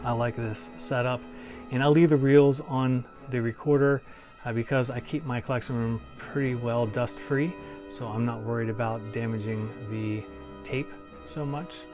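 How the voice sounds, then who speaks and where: Casual male reviewer, fairly even intonation. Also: mid-range voice, moderate pace. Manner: informal, enthusiastic fan talk. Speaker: collectibles review host. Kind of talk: casual chat to viewers. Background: music.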